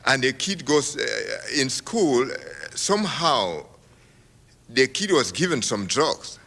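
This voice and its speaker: A man from Cameroon asking a question from the audience at a public talk, halting with many restarts and laughing as he talks. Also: medium pitch, lively intonation, fairly bright tone. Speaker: audience member. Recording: reverberant hall.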